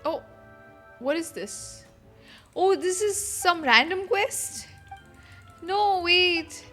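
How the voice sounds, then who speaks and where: A woman game streamer reacting casually and haltingly to a live playthrough, muttering to herself over game music. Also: extremely high pitch, animated, sharp tone.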